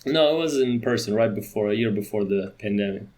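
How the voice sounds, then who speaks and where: A man from Romania, a company CEO in his thirties, talking as a guest in a casual podcast interview, quick and full of restarts. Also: lowish voice, a little mellow.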